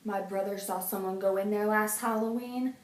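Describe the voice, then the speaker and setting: A woman's voice: medium pitch, steady tone, a little slow, a little mellow, close to an everyday voice. A woman reading aloud, voicing a boastful young boy in a storybook who likes to spook his friends. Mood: spooky hush. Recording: roomy, distant mic.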